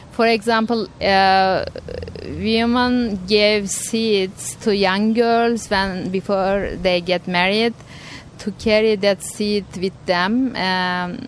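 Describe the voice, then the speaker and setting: Woman guest, unhurried, halting delivery, faint noise behind. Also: mid-range voice. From Turkey. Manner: hesitant, searching for words with restarts. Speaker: interview guest, researcher. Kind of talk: conversational interview answers. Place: conference venue.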